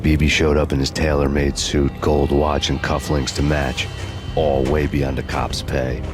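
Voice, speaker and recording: An actor playing a haunted, bitter ex-cop detective, narrating in a brooding, sardonic deadpan noir style. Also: male voice, rumbling low, measured pace. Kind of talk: noir first-person narration. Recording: music behind the voice.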